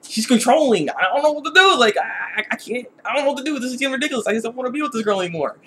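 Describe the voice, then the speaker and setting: Guy acting out a helpless whiny young dude, halting n repeating himself, higher voice, jokey review style. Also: lively intonation, bright, forceful tone, a little hiss or hum, exaggerated voice. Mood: flustered, helpless. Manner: flustered, halting, repetitive. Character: whiny, clueless young man stuck with controlling girlfriend.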